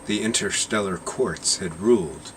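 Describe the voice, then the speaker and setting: A man with a deep, low voice reads a short story aloud. His delivery is slow and measured, and he lingers over each phrase. A faint hum of air conditioning sits behind him. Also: rough recording. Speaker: narrator reading his own short story.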